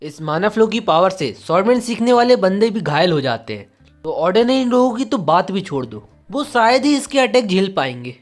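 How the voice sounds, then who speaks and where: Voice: medium pitch, very animated, a little fast, faint music behind, natural voice. Loyal, anxious knight put on by a narrator. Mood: explaining. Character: loyal, anxious male knight retainer of young lord.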